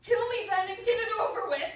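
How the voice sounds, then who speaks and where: A woman's voice: very high-pitched, animated, brisk. A woman playing a terrified yet defiant young woman hunted by a vampire in a radio play, frantic and pleading. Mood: anguished defiance. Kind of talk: amateur radio play acting. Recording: roomy, distant, faintly noisy stage recording.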